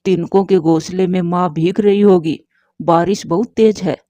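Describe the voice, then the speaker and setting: A woman's voice: on the low side, steady tone, moderate pace, dark tone, dry recording, highs cut off, close to an everyday voice. A woman voicing a mild young male bird, a yielding son and husband in a cartoon, in a placating manner. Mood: concerned.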